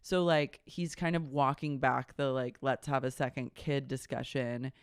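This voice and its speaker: A man's voice: mid-range voice, steady tone, a little slow, clear, resonant tone, dry recording, studio quality. A male podcast co-host in casual chat, recapping a reality show and often acting out what people said. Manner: chatty, acts out quoted dialogue.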